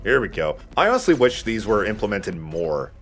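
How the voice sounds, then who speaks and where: A man's voice: fairly low pitch, sing-song, normal speed, a bit bright, a little hiss or hum. A man giving casual let's play commentary in a playful, self-deprecating way, swearing casually. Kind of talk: casual gameplay commentary with banter.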